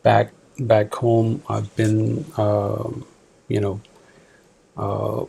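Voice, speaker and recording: Man, 40s, from Pakistan, interview guest, halting speech, husky, gravelly. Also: fairly low pitch, completely flat delivery, dark tone, rough recording. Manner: halting, many fillers and restarts. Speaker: guest, department manager. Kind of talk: casual interview answers. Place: office.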